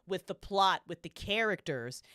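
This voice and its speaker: A woman's voice: lowish voice, expressive, a little slow, a bit bright, no echo, studio quality. A woman on a podcast panel, chatting casually and opinionatedly as a fan, with emphatic, slangy, swear-heavy delivery.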